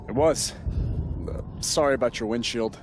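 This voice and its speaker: An actor playing a laid-back, apologetic wrestler in casual video game cutscene dialogue. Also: male voice, mid-range voice, moderate pace, noisy background, close to an everyday voice. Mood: sheepish.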